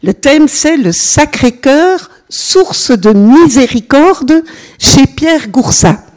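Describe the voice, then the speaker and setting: Slow-speaking older Belgian woman. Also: sits high, lively intonation, a little mellow. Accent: Belgian French. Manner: chatty, unhurried, peppered with "voilà", engages listeners. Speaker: consecrated religious sister giving a teaching. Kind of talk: informal religious teaching to a group.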